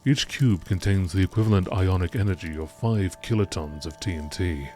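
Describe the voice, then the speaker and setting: A man reading scripted science-fiction encyclopedia entries in a measured, formal nature documentary style. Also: low voice, warm tone, soft background music. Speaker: narrator reading game lore entries.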